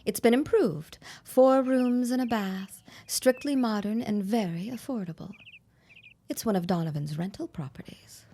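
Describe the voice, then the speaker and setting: A woman's voice: a little high, normal speed, dark tone, slight background noise, natural voice. An actress playing a haughty, spiteful woman in a period audio drama, with a cool, cutting, disdainful manner. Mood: cool, dismissive.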